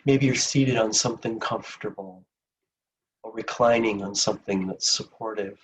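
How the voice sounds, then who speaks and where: A man leading a guided meditation in a gentle, soothing, unhurried manner with long pauses between phrases. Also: on the low side, far from the mic, a little hiss or hum, rough recording.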